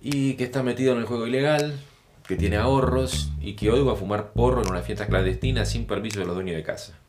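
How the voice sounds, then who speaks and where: A man's voice: mid-range voice, quick pace, a little mellow, lightly acted. An Argentine actor playing a tentative, drily joking husband and father in a naturalistic audio drama. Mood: dry, teasing. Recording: acoustic guitar music behind the voice.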